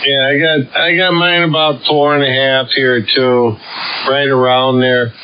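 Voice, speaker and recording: A man's voice: mid-range voice, flat delivery, unhurried, highs cut off. A man in casual conversation over a sideband radio link. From United States. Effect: sideband radio transmission. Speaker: amateur radio operator. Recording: hissy sideband radio with static. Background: radio hiss.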